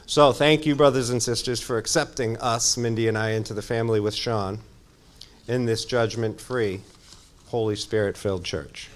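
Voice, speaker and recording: Mid-pitched man, earnest church testimony. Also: steady tone, normal speed, noisy background. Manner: earnest, conversational. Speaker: church member introducing a guest speaker. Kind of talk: heartfelt informal church testimony.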